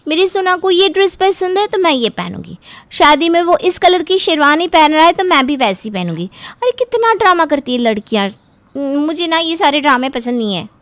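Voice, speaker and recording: Lady doing a young cartoon bride, high voice, expressive and chatty, devoted to her guy. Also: normal speed, no room sound. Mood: defiant. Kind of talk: cartoon voice acting.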